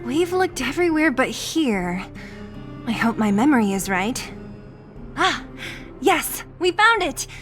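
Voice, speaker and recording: Game casting: scrappy young street brawler girl, brash and outspoken, unhurried pacing, music behind her lines. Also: high voice, exaggerated voice. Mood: upbeat. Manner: brash, casual, expressive. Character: feisty, blunt young female street fighter from the slums. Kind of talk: video game voice acting.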